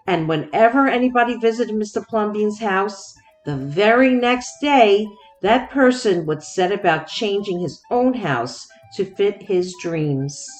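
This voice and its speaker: A woman narrating a children's storybook expressively, with deliberate, dramatic phrasing. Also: mid-range voice, poor audio. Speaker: children's book read-aloud host.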